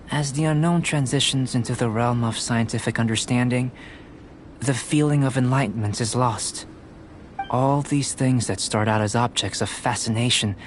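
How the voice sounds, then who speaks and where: An actor playing a calm, detached young male researcher in a video game, speaking in a measured, formal way. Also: medium pitch, slight background noise, lightly acted. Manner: measured, formal, analytical delivery. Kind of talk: scripted video game story dialogue.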